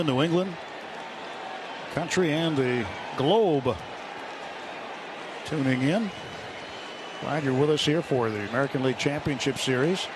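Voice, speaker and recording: Higher-pitched man calling baseball over ballpark noise. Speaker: baseball play-by-play announcer. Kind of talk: live radio sports commentary. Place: open broadcast booth at a ballpark. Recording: noisy live stadium radio broadcast. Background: loud outdoor ballpark ambience.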